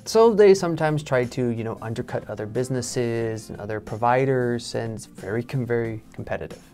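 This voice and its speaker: A man in his thirties from Texas with a Texan accent, presenting an English lesson in a relaxed, chatty way from a studio. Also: mid-range voice, a little fast, faint music behind. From Texas, United States. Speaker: English teacher. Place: studio in front of a backdrop.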